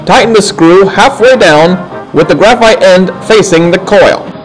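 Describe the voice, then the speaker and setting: A man narrating a scripted how-to film, giving step-by-step instructions in a deliberate, folksy way. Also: high-pitched, rough recording. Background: instrumental music.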